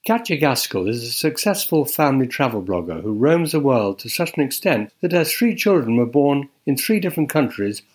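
A man's voice: mid-range voice, very animated, fairly quick, hardly any pauses. A man co-hosting a travel podcast, reading the introduction and asking the guest questions. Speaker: podcast co-host. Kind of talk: scripted intro and interview questions.

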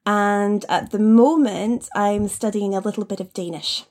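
A woman from the Highlands, an English teacher answering interview questions conversationally. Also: steady tone, a little slow, darkish tone. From Scottish Highlands, Scotland. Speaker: podcast guest, English teacher.

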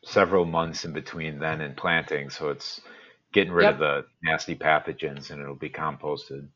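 A man's voice: extremely low pitch, steady tone, normal speed, fairly bright tone. A man hosting a podcast in casual chat. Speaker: podcast host. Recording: dull lo-fi audio.

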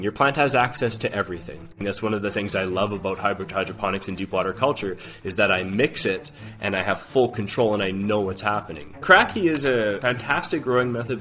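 A man's voice: lowish voice, sharp tone. A man appearing as a podcast guest, explaining hydroponic growing in a confident, instructive way. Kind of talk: explaining growing methods in conversation.

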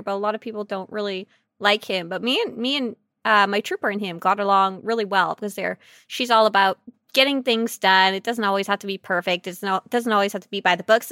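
A woman co-hosting a silly, casual podcast about video game characters, chatting in an excitable, giggly and animated way. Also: medium pitch, dry recording, studio quality. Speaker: podcast co-host.

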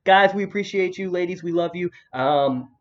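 A man's voice: high voice, normal speed. A young man hosting a podcast, chatting in a laid-back, joking way with many restarts. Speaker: podcast host. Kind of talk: casual chat. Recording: dull lo-fi podcast audio.

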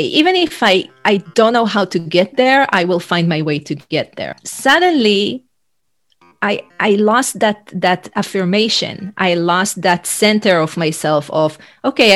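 A woman from Israel whose first language is Hebrew, reflectively telling her life story as a guest over a video call, often restarting her sentences. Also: medium pitch. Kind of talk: conversational storytelling.

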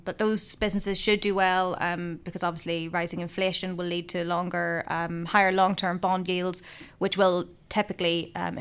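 Woman, fund analyst; low, even, smooth voice; steady explainer with fillers. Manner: measured, with frequent ums. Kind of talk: casual business discussion.

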